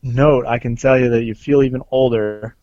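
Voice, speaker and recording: A man of middle pitch who co-hosts a podcast and explains things earnestly and at length, filling his pauses with ums. His voice comes through dull and lo-fi, in a dry, close recording. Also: fairly even intonation, darkish tone, no echo. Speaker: podcast co-host, police officer. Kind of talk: casual chat.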